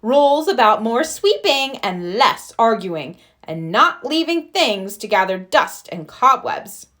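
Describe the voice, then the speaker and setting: A woman voicing a fed-up mother bear in a storybook, brisk and scolding. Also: fairly high pitch, very animated, fairly bright tone, heavily acted. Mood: firm.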